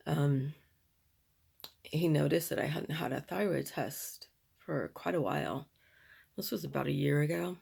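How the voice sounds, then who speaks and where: Middle-aged woman chatting while doing her makeup, raspy and gravelly, real slow and rambly. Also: low voice, darkish tone. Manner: slow, rambling, candid, with pauses. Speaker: beauty vlogger.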